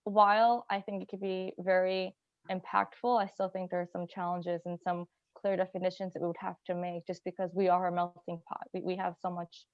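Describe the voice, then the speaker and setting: A woman from Iran joins a journal club discussion over a video call. She thinks out loud and circles her point with lots of fillers. The audio is dry and a little dull. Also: lowish voice, little pitch movement, fairly quick, dry recording. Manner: rambling, filler-heavy, thinking aloud.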